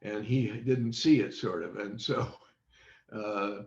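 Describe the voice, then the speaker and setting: A man in his eighties or older reminisces as an interview guest, halting and measured, with long pauses, on a video call. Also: on the low side, a little mellow. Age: 80s or older. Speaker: interview guest, former radio quiz show child panelist. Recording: dull, roomy video call audio.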